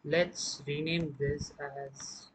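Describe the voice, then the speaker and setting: A man narrating a casual step-by-step music production tutorial, speaking haltingly as he works. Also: fairly high pitch, flat delivery, noisy background.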